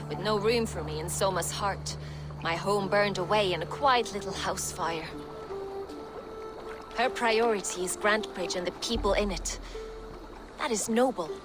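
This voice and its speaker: A woman's voice: mid-range voice, close to an everyday voice. Actress as a wry Viking warrior woman, music behind. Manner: wry, spirited. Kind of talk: dramatic video game acting.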